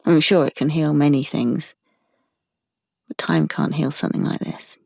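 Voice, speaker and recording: A woman's voice: low voice, moderate pace, creaky. An actress playing a withdrawn, haunted young woman in an audio drama monologue, speaking hesitantly and intimately with a British accent. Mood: haunted, subdued. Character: withdrawn, haunted young woman traumatised by surveillance and a leaked photo.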